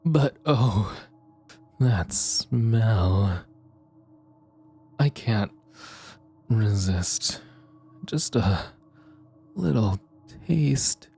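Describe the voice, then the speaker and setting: Casting: male actor as a predatory ghoul, husky and dragging, lilting menace, audio drama. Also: on the low side, animated, warm tone, soft background music, exaggerated voice. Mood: menacing croon. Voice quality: husky, drawn-out hiss. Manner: crooning, predatory, savoring each word. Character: slow, menacing ghoul servant craving blood. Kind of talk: ASMR roleplay audio drama.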